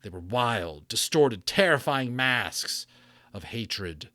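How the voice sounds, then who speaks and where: A man's voice: medium pitch, expressive, takes it slowly, sharp tone, dry recording, studio quality. A man narrating a storybook in a dramatic reading, with theatrical emphasis and a storyteller's delivery. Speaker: audiobook narrator.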